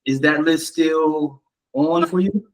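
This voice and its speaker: A man hosting an interview, asking relaxed questions and affirming his guest often. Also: fairly high pitch, takes it slowly, poor audio. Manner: easygoing, relaxed, affirming with "yeah" and "wow". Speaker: interview host.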